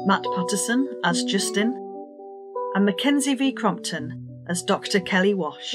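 A woman reads scripted credits as an announcer. Her delivery is slow and measured, and loud guitar music plays under her voice. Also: mid-range voice. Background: plucked guitar music.

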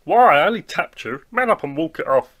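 A man voicing a blunt, selfish grown man in an animated cartoon, speaking in a brash, dismissive way. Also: a little high, fairly quick.

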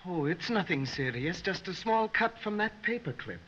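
Polite 1930s film clerk, high-pitched, muffled dull recording. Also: male voice, lively intonation, moderate pace, a bit bright, a little hiss or hum. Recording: dull old film soundtrack.

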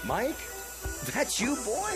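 An actor playing a friendly, chatty small-town neighbor in a radio drama, with a folksy manner. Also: male voice, very high voice, huge pitch swings, slow pace, exaggerated voice. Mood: cheerful, curious. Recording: classical music playing behind the voice.

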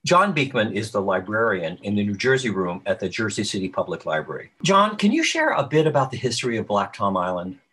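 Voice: sits low, very animated, brisk, few pauses, a bit bright, breathy edge. A moderator hosting a panel discussion over a video call. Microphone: video call microphone.

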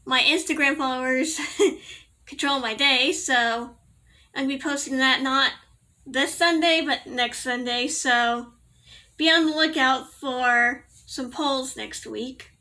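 A young woman talks to her laptop camera in a small bedroom, her voice high and bright with a slight allergy rasp. She rambles, giggles and corrects herself as she goes. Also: takes it slowly. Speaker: vlogger. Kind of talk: casual product review to camera.

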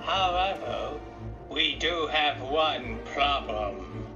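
Casting: formal, loyal henchman to a cartoon villain , male actor, measured pace, loud music underneath. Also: fairly high pitch, lively intonation, dull audio. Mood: solemn, sinister. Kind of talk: amateur parody cartoon dub.

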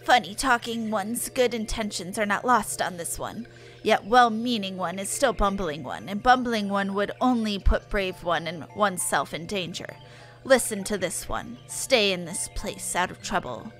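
A woman voicing a stern, weary forest-spirit elder from a fantasy game, speaking in measured, formal third person. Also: mid-range voice, a bit bright, soft background music, pitched below the performer's own voice, close to an everyday voice. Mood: stern, solemn. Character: stern, weary sylph elder giving solemn orders.

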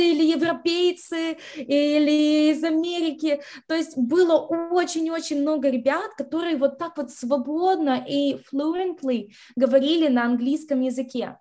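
A young woman from Russia, an interview guest on a video call from home, telling lively stories and slipping in English words. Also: high-pitched. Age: young adult. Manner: lively storyteller, acts out quotes, mixes in English words. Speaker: interview guest, university graduate. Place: bright room at home.

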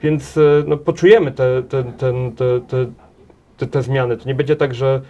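A man's voice: mid-range voice, steady tone, moderate pace, warm tone. A man in his thirties, a guest in a small TV studio, answering questions at length. He stumbles often, repeating words and restarting his sentences as he thinks aloud. His voice is recorded on a table mic. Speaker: interview guest, head of a transport association.

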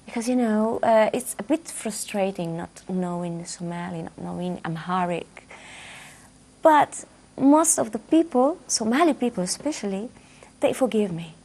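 Gravelly-voiced woman in a studio interview. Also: medium pitch, expressive, normal speed, darkish tone. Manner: thoughtful, repeats words while searching for phrasing. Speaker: musician guest. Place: dark television studio, seated.

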